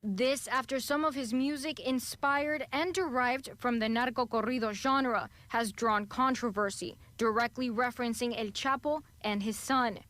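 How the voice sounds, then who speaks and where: High-voiced woman reading a news report. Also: a bit bright, dry recording. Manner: measured, formal delivery.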